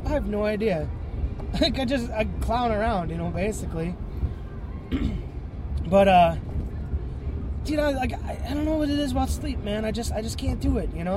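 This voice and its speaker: High-voiced, stuffy-nosed man rambling. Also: low-quality recording. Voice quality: stuffy, congested nose. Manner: clears throat and sniffs often; rambling, many fillers and self-corrections. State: tired. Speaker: podcast host. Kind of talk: rambling solo podcast monologue.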